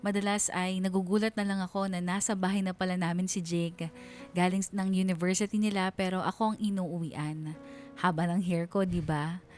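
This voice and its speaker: A woman's voice: on the low side, level tone, moderate pace, a little mellow, soft background music, natural voice. A woman playing a lovestruck, playful young woman narrating her own story in a radio drama, confiding and giddy.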